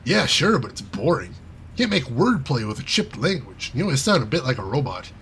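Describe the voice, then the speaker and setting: A man's voice: medium pitch, sing-song, brisk, a bit bright, slight background noise, pitched below the performer's own voice. A man reading aloud and voicing a wry male hacker character who tells a self-deprecating heist story in a chatty way. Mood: boastful.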